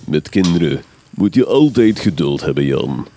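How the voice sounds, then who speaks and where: Gothic radio comedy: grave village surgeon, drawn-out, wildly swooping lines, faint noise. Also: male voice, sits low, sing-song, mellow. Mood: grave, courteous. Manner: drawn-out, measured, theatrical.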